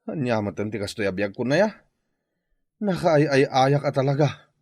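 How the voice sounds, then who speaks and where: A man's voice: medium pitch, animated, normal speed, a little mellow, no echo. A man with a Filipino Ilocano accent playing a hungry, jobless father who pleads plaintively in a radio comedy drama. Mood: pleading, hungry. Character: hungry, pleading jobless husband and father longing for his family.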